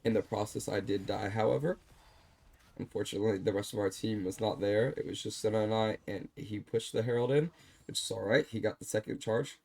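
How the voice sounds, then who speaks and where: A man calmly walking through a replay of his own game, explaining his choices, with a slightly stuffed-up voice. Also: on the low side, a little fast, gravelly. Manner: calm, explanatory, self-deprecating. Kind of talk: gameplay commentary over a replay.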